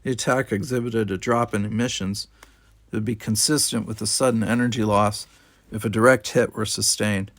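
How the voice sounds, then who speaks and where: A man voicing a calm, unflappable young male cadet in an audiobook, explaining things matter-of-factly. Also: on the low side, normal speed, dry recording. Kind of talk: audiobook character voice.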